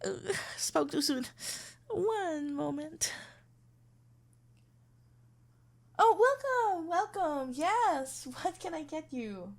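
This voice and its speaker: A woman's voice: extremely high pitch, very animated, takes it slowly, gravelly, exaggerated voice. A woman playing a sweet, flustered florist best friend in an ASMR roleplay, playful and awkwardly hesitant. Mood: polite, busy. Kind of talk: ASMR roleplay acting, one-sided dialogue.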